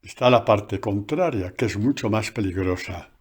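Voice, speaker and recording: A man, a priest, giving a conversational catechesis sermon recorded on a phone, animated and full of asides. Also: fairly low pitch, normal speed, slightly rough.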